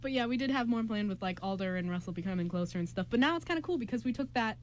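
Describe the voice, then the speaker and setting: Young female co-host, high voice with swooping intonation, giggly and dramatic, casual friendly chat. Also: lively intonation, racing, a little hiss or hum. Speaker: radio show host.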